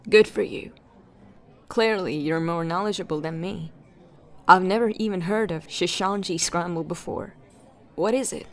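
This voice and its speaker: An actress playing an earnest, inquisitive detective who is puzzling over a case in a sci-fi audio drama. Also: female voice, fairly low pitch, normal speed, lightly acted. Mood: curious, friendly. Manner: earnest, questioning.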